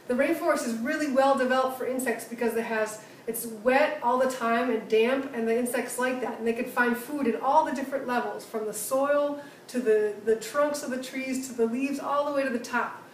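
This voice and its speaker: A woman's voice: a little high, steady tone, moderate pace, hardly any pauses, low-quality recording. A woman working on rainforest protection, earnestly explaining things in simple words to young schoolchildren, often repeating words as she thinks aloud. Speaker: rainforest conservationist answering pupils' questions.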